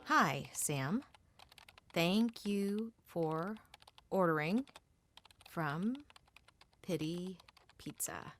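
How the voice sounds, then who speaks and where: A halting delivery worker, played by a woman, greets a customer in a radio comedy. Her voice is slightly low and extremely slow, each phrase stretched out. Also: lively intonation, a bit bright, full character voice. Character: halting young singing-telegram pizza delivery woman.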